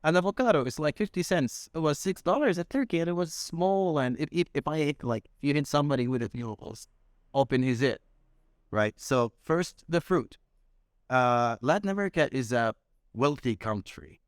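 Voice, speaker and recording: Palestinian man, halting and restarting. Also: a little high, expressive, dry recording, studio quality. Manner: searching for words, frequent restarts. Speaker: restaurant owner. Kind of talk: casual interview. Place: small restaurant room.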